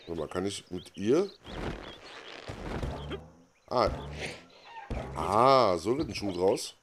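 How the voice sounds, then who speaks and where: A man's voice: lowish voice, big pitch swings, slow, noisy background. A man giving casual, unscripted game commentary as host, muttering offhand reactions to himself. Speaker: gaming let's play host.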